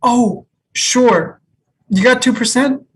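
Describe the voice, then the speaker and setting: Distant, unhurried, wry job applicant, played by a woman. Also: a little high. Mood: wry, playful. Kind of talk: sitcom table read. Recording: distant home microphone.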